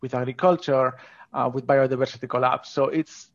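A man's voice: medium pitch, steady tone, brisk, muffled recording. A man from northern Spain with a Spanish accent, talking enthusiastically and informally as a guest in a conversational interview. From Asturias, northern Spain. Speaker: podcast guest, scientist.

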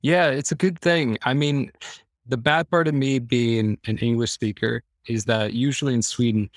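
A man with a Midwestern American accent talks as a podcast guest at a medium pitch. He is easygoing and jokey, sharing personal stories casually, recorded dry with little room sound. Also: fairly even intonation, moderate pace, no echo. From Iowa, American Midwest. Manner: easygoing, joking. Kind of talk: casual chat, personal storytelling.

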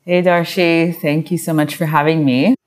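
A woman's voice: low-pitched, level tone, moderate pace, darkish tone, faint music behind. A woman from Montreal in her thirties, speaking as a podcast guest in a loose, rambling way with many fillers and restarts. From Montreal, Canada. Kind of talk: casual interview talk.

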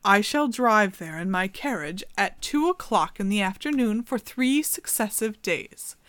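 A woman voicing an enchanted princess turned into a raven, in a lilting, higher put-on voice. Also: animated, unhurried, fairly bright tone, dry recording. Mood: earnest.

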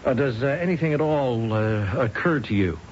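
An actor playing a persistent police detective with halting, deliberate questioning in a radio drama. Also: male voice, mid-range voice, lively intonation, darkish tone, close to an everyday voice. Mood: probing. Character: persistent, hesitant-sounding police detective quietly probing his suspect. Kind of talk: 1970s radio drama acting. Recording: muffled, hissy old radio broadcast.

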